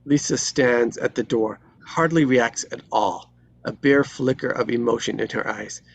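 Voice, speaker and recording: A man narrates screenplay action with a husky, creaky voice at a middle pitch. His phrasing is terse and deliberate, suited to dramatic scripted narration. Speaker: stage directions narrator.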